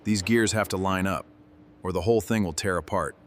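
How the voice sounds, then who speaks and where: A man's voice: low voice, brisk. A man giving scripted narration as the narrator of a restoration video. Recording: noticeable background noise.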